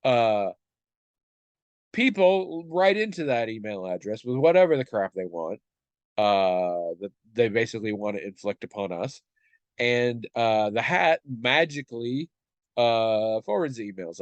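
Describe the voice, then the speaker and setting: A man's voice: mid-range voice, lively intonation, normal speed, dry recording, lo-fi sound. A man in his forties co-hosting a podcast. He is stuffed up and talks in a rambling, self-deprecating way with many restarts. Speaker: podcast co-host. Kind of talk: casual chat.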